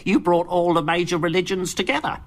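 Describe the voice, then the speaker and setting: An actress playing a bossy, sarcastic film company executive in a radio comedy, with a scornful, emphatic delivery. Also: female voice, on the low side, brisk, close to an everyday voice. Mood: scornful, dismissive.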